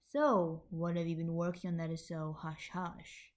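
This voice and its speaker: A woman's voice: lowish voice, steady tone, a little slow, pitched below the performer's own voice, natural voice. Woman voicing a smug, teasing young cat hero. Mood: coaxing. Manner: teasing, playful, husky purr.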